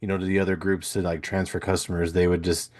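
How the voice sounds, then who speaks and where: A man's voice: low-pitched, level tone, quick pace, darkish tone. A man who works as a radio DJ, chatting easily as a podcast guest with short agreeing replies. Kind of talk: casual interview chat.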